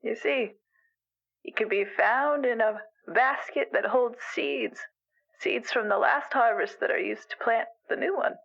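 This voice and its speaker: Creaky, high-voiced elderly weaver played by a woman. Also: fairly bright tone, full character voice. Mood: earnest, musing. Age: older. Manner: halting, rambling, folksy storytelling. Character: elderly weaver animal, gentle, wistful, rambling advice-giver. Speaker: caller to a radio show. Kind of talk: improvised audio drama, radio call-in. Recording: dull lo-fi radio call sound.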